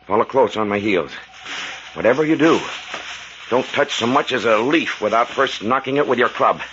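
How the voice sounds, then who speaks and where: An actor playing a learned, earnest archaeologist doctor in a 1940s radio adventure, on a muffled vintage recording. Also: male voice, mid-range voice, expressive, normal speed, noisy background, natural voice. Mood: cautioning. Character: learned, excitable male archaeologist doctor, jungle-wise and earnest.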